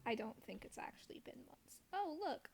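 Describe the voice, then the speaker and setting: A woman with a fairly high voice chats casually while streaming a video game. She is playful and self-deprecating. There is faint noise behind her. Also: sing-song, moderate pace, gravelly, darkish tone. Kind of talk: casual live gameplay chat.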